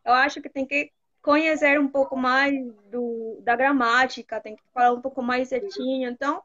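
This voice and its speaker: A Colombian woman whose first language is Spanish, learning Portuguese, speaking calmly and thoughtfully on a video call and sometimes searching for words. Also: high-pitched, normal speed, lo-fi sound. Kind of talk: casual conversation on a video call. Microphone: video call on a phone.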